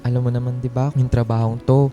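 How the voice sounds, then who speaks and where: A woman's voice: extremely low pitch, little pitch movement, fast talker, dark tone, lo-fi sound, soft background music. A woman acting as an earnest, put-upon office employee, polite and pleading, with a Filipino accent in a radio drama. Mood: weary, discouraged. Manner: deferential, pleading.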